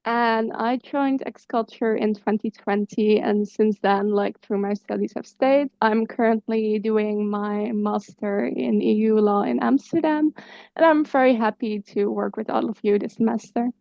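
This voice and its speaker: A twenty-one-year-old woman, a co-coordinator, giving a cheerful, quick self-introduction on a video call. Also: a little high, steady tone, vocal fry, no echo. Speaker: program co-coordinator. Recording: dry, slightly dull call audio.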